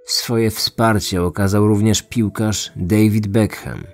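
A man's voice: fairly low pitch, fairly even intonation, takes it slowly, a little mellow. A man reading a scripted true crime story as the narrator and host. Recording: music under the voice. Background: background music.

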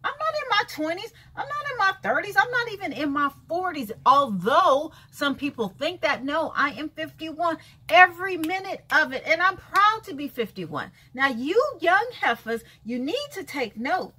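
A 51-year-old woman vlogging a sassy, emphatic rant from a bright room with books, repeating lines for effect and calling listeners baby and honey, gesturing as she talks. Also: sits high, normal speed, few pauses. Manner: lively hand gestures while talking. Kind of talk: casual talking-head rant. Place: bright room with shelves of books by a window, indoors.

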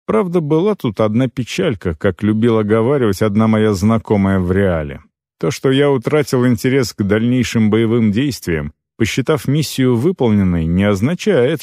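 A man's voice: mid-range voice, darkish tone, no room sound, clean studio sound. A man narrating first-person fiction as an audiobook, in a conversational, wry storytelling manner.

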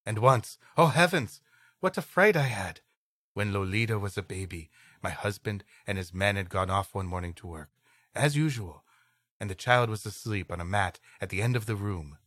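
A man's voice: lowish voice, normal speed, a bit bright, no room sound. A man co-hosting a comedy podcast, reading an old adventure story aloud expressively and dropping in playful asides. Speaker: podcast co-host.

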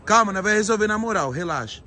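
A man's voice: sits high, animated, fast talker, bright, forceful tone, a little hiss or hum. A young man hosting a live vlog, slangy and mock-serious as he eggs his friends on. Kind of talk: casual live-stream banter. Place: restaurant and street outside. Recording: busy restaurant crowd behind.

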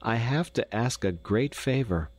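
A man's voice: medium pitch, expressive, unhurried, a little mellow, breathy edge, voice pushed up. A man voicing a poor young Dutch boy who pleads earnestly with a doctor. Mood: pleading.

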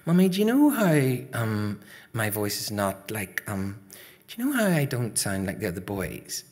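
A man voicing a cheeky, anxious young Northern Irish boy, excitable and pleading, in a told story. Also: fairly low pitch, normal speed.

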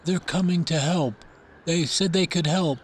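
A man's voice: fairly high pitch, measured pace, husky. An actor playing a troubled, entranced man who obeys unseen voices in an audio drama.

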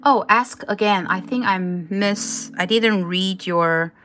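A woman's voice: mid-range voice, slow pace, a bit bright, soft background music. A woman from Taiwan, a native Chinese speaker with a Taiwanese accent, hosting a casual live crochet tutorial with informal step-by-step instructions. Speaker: craft tutorial host.

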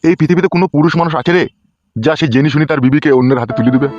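A man plays a put-upon village husband in a cartoon, grumbling and resigned. His slightly high voice hurries along rustically. The sound is dull, with music behind. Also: very fast, a little mellow, lightly acted. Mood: aggrieved. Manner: complaining, rustic. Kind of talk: amateur comedy cartoon dialogue.

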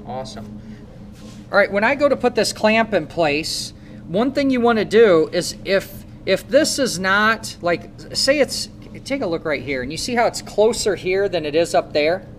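Midwest guy teaching carb install in a shop, lots of questions to students, loud shop noise behind. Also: high voice, expressive, normal speed. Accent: Midwestern American. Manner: coaching, asks students questions. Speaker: motorcycle technician instructor. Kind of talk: hands-on shop demonstration. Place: motorcycle repair shop.

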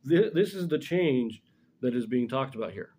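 Middle aged guy doing a bible study talk, mellow mid-pitched voice, explains stuff step by step. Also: expressive, normal speed, slightly rough. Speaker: scripture commentator. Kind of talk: informal religious lesson with scripture reading. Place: small indoor room in front of a blackboard.

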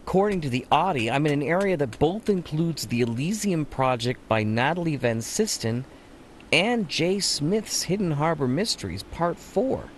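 A man plays a flustered adventurer in an audio drama monologue. He talks to himself wryly, with very animated intonation. There is faint noise and ambience behind him. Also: a little high, moderate pace, lightly acted. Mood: wry, puzzled. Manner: wry, talking to self. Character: flustered adventurer thinking aloud after escape. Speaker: actor hosting in character.

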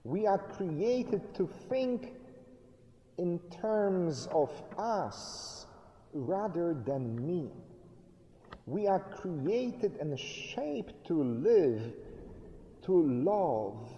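A middle-aged pastor delivers a sermon at a very slow, measured pace, his dark, mellow voice rising and falling in lively swings. He pauses often for emphasis, and his words ring out through a long church reverb. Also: high voice, muffled recording. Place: church sanctuary, indoors, at a lectern. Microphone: fixed camera at a distance.

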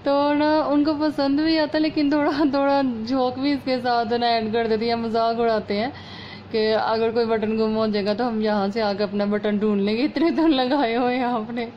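A woman with a sore, slightly hoarse throat, chattily explaining a home sewing repair step by step. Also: fairly high pitch, steady tone, moderate pace. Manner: chatty, homely, explaining as she works. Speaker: sewing channel host. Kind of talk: casual step-by-step sewing tutorial. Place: at home over a work table. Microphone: phone camera over hands. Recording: muffled lo-fi phone audio, faint room noise.

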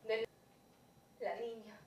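Female stage poet, slightly high voice, dramatic and deliberate, captured from afar in an echoey theatre. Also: fairly even intonation, distant mic, a little hiss or hum. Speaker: spoken-word performer. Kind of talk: stage poetry recital. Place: dark theatre stage, indoors.

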